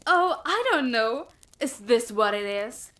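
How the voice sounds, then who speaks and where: A woman's voice: a little high, expressive, slow pace, a bit bright. A woman playing a cruel, mocking female villain in an audio drama, with theatrical menace and music behind her. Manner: taunting, theatrical.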